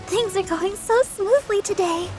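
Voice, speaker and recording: A sunny young idol chirps in a very high, bubbly voice. She speaks slowly, and clear game music plays around her. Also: exaggerated voice. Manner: bubbly, giggly.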